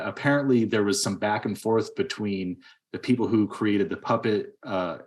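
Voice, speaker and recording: A man in early middle age co-hosting a podcast, talking casually about films in a halting, rambling way. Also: lowish voice. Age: 30s or 40s. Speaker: podcast co-host. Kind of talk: casual film discussion.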